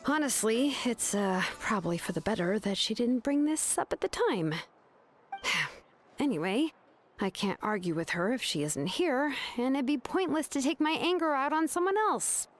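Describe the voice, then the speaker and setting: A woman's voice: high voice, expressive, normal speed, a little hiss or hum. A woman playing a young, cool and frank master craftswoman in a video game, casual and a little hesitant. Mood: exasperated, restrained. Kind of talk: animated video game voice acting.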